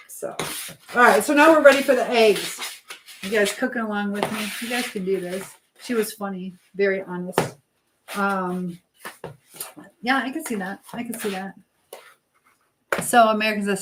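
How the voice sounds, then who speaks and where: A woman hosts an informal live stream, chatting affectionately with her viewers. She moves easily between her own remarks and comments she reads out. Noticeable background noise and small clicking sounds run behind her mid-pitched voice. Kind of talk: casual live chat, reading viewer comments aloud.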